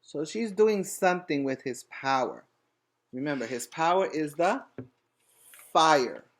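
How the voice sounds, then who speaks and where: A man's voice: fairly high pitch, lively intonation, unhurried, fairly bright tone. A man reading a storybook aloud as a preschool teacher, with simple, instructive asides to the children.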